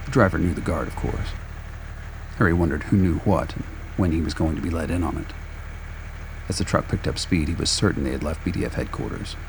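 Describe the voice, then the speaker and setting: A man's voice: a bass voice, brisk, vocal fry. A man reading scripted radio play narration in a wry, sardonic manner. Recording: faint background noise.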